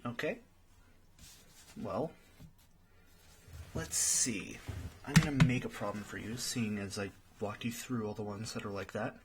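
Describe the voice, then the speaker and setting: A man's voice: on the low side, unhurried, long pauses, background noise, close to an everyday voice. A man playing a friendly young friend in an ASMR roleplay, patiently tutoring and thinking aloud in a hesitant way. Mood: encouraging, explaining. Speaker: study partner.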